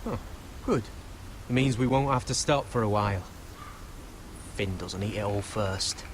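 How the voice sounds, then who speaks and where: An actor playing a scrappy young wildling scout in a dramatic fantasy video game. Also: male voice, fairly low pitch, a little slow, a little hiss or hum, natural voice. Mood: brisk. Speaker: game character.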